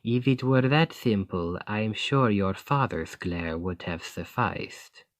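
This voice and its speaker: A man's voice: fairly low pitch, slow pace, no room sound. A man voicing a loyal veteran knight bodyguard who speaks formally and dutifully, in an ASMR roleplay.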